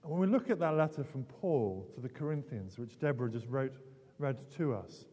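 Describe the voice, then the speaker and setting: A man's voice: medium pitch, lively intonation, moderate pace, warm tone, slightly rough. A middle-aged British man preaching a conversational, explanatory sermon as a priest, hesitating and repeating words, his voice strained from losing it. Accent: British English. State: losing his voice, throat clearing. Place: large indoor church, long reverb. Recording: reverberant church hall.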